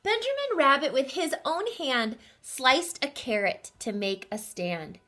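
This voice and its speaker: A woman reading a picture book aloud to children, expressive and putting questions to her young listeners. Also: high-pitched, measured pace. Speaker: children's story reader.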